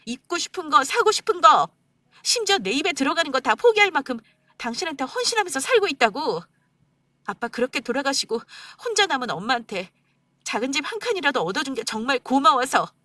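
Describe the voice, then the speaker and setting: A woman's voice: very animated, normal speed, fairly bright tone, no echo. A woman playing a hurt, indignant wife. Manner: tearful, indignant, voice breaking high. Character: hurt young wife pleading and arguing back.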